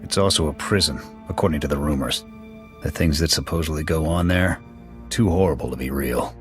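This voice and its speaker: Game casting: proud, hot-tempered soldier, low-pitched, gruff and clipped, music underneath. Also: male voice, brisk. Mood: grim, dismissive. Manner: clipped, military, terse. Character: gruff, proud male military sniper officer, loyal but hot-tempered. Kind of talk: video game dialogue acting. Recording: music behind the voice.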